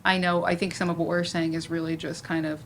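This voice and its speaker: A woman's voice: fairly low pitch, level tone, fast, slight background noise. A woman moderating a panel discussion in an informal, chatty way, often saying "like" and "you know".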